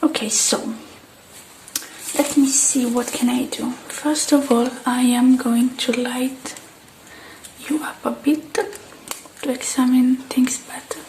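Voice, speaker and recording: A woman doing an ASMR repair roleplay, speaking in soft, breathy whispers and hushed, halting murmurs close to the mic, addressing the listener. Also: sits high, fairly even intonation, a little hiss or hum, rough recording. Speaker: ASMR artist.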